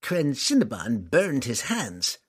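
A man's voice: mid-range voice, wildly swooping pitch, slow pace, fairly bright tone, breathy edge, pitched above the performer's own voice, full character voice. A man voicing a small, cowering male demon servant in an audiobook, whimpering and pleading. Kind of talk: audiobook character voice.

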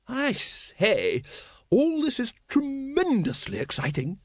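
A man's voice: very high-pitched, wildly swooping pitch, slow pace, no echo, clean studio sound, pitched above the performer's own voice, heavily acted. A man voicing a cheerful, hearty aristocratic lady in an audiobook.

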